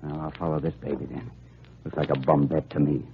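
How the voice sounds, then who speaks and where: Very deep-voiced rookie federal agent. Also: male voice, little pitch movement, a little fast. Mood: wary musing. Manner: slangy muttering to himself. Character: cocky young rookie federal agent on a stakeout. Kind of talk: 1940s radio acting. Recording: noisy, narrow-band vintage radio recording.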